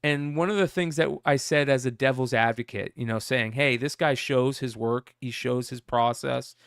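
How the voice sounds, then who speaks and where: A man hosts a casual comic news show, rambling through the news and reading out a message in his plain voice. His voice is gravelly yet smooth and resonant, at a middle pitch. He sounds close to the mic in a dry, dead room. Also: normal speed, fairly bright tone, no room sound. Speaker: host.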